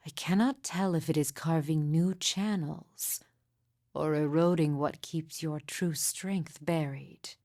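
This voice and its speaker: A woman voicing a sorrowful, haunted mystic midwife in a video game, speaking in solemn, hushed, deliberate and formal phrases. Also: low voice, no room sound, clean studio sound. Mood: hushed, mysterious. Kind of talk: video game character dialogue, poetic and measured.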